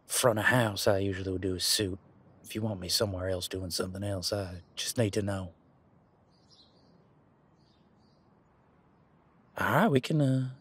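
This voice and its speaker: A man playing a laid-back, responsible werewolf security guard in a casual roleplay audio, talking in a colloquial, halting, matter-of-fact way with a husky voice. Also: fairly low pitch, fairly bright tone, no echo. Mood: agreeable, businesslike.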